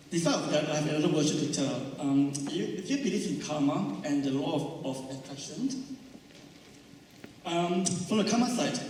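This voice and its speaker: A man speaking on a panel, hesitant with many pauses and ums. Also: a little high, dark tone, slight background noise. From Malaysia. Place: large event hall. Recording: echoey hall, distant mic.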